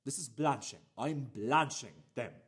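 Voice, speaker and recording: A man acting a defensive, fussy home cook in a radio play monologue, emphatic and repetitive, with a husky voice. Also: mid-range voice, unhurried. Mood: fussy pride. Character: defensive, fussy man boasting about his cooking.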